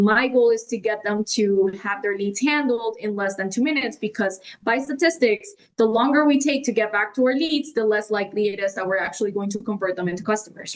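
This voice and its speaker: A woman whose first language is Spanish, answering interview questions fluently and explaining her consulting work, often adding "right?" and "like". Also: on the high side. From Colombia. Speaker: guest, AI automation consultant.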